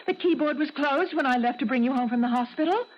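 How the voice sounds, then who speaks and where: An actress playing an anxious, genteel, devoted wife, solicitous and emphatic, in a 1970s radio drama. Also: female voice, sits high, quick pace, close to an everyday voice. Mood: defensive. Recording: dull, clearly noisy 1970s radio recording.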